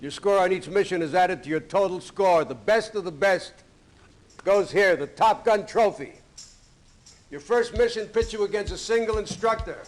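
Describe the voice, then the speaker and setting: Stern higher-pitched male Navy commander played by an actor. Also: normal speed, natural voice. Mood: authoritative. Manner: authoritative, clipped military briefing. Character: stern, gruff male Navy commander and flight school instructor. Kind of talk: acted video game cutscene dialogue.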